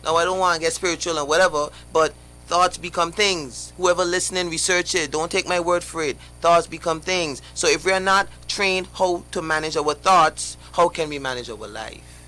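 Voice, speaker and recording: A man's voice: on the high side, moderate pace, pushed and bright, faint music behind, poor audio. A young man, a guest on a live radio interview, talking passionately in rhetorical questions with many restarts.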